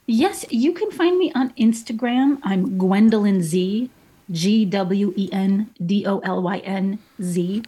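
A woman's voice: mid-range voice, slow, mellow, husky, low-quality recording. A woman painter being interviewed on the radio, friendly and a bit hesitant, with light humor. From Chicago. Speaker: guest painter.

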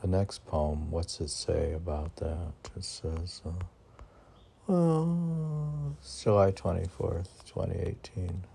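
Very deep, gravelly, slow-talking man. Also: warm tone. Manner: rambling, conversational, asks and answers himself. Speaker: poet reading and commenting on own poems. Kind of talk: informal poetry reading with self-questioning commentary.

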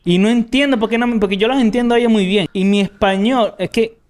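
Laid-back, cursing Caribbean man, smooth voice. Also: high-pitched, fast talker, a little mellow. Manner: loose, profane storytelling with frequent laughs. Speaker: guest, music producer. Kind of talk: casual podcast chat.

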